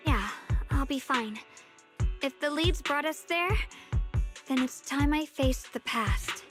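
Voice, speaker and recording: A woman's voice: high-pitched, slow pace. An actress playing a resolute young woman adventurer in scripted anime game dialogue. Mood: determined. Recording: game audio with music behind.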